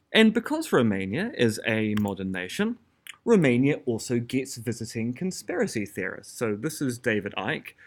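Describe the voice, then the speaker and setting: A man with a New Zealand accent giving an academic lecture as a philosopher, measured and explanatory with a touch of dry humor. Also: medium pitch.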